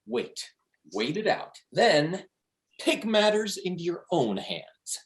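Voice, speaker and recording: A man acts out an excitable, cocky slacker with a scheme to sell. His pitch leaps around as he pushes his point in slangy, emphatic bursts. It is a stage comedy read over a video call. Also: slow, full character voice. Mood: cocky, urging. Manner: slangy, emphatic, swinging between hushed and pushy. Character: Steve, cocky, excitable, foul-mouthed male slacker schemer.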